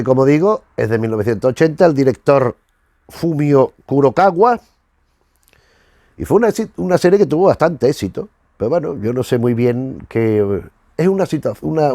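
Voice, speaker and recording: A man from hosts a casual commentary in a very dry recording. His voice sits at an average pitch. He digresses and circles back, rewording phrases as he searches for the right one. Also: dark tone, no echo. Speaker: YouTube host reviewing retro cartoons.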